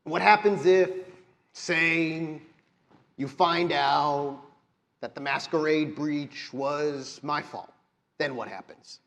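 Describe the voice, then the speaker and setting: Man acting out a halting, evasive graveyard dweller. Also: a little high, fairly bright tone. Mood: frightened, stalling. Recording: live convention show recording.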